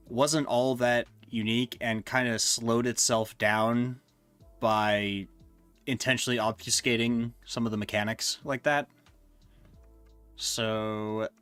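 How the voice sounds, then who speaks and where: A man on a live stream talks loosely, stumbling through fillers and half-finished thoughts at a middling pitch. His voice is wearing thin and hoarse, and soft music plays faintly behind him. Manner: rambling, hesitant, many fillers. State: losing his voice. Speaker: streamer ranking games he reviewed. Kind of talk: casual live-stream chat.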